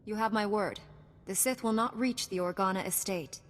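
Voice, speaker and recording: A woman's voice: mid-range voice, normal speed, in a large hall, lightly acted. An actress playing a principled, composed woman knight in a video game, speaking earnestly. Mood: resolute.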